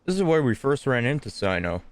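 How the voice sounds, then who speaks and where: A man's voice: mid-range voice, big pitch swings, a little fast. A man streaming a game, chatting casually and thinking aloud in a rambling way. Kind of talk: casual gameplay chat. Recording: game music behind the voice. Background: video game music and dialogue.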